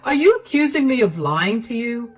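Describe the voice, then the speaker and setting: A woman's voice: lowish voice, lively intonation, moderate pace, slightly rough, soft background music. A woman doing a whiny, defensive husband. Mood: indignant. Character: lazy unemployed husband, defensive and entitled.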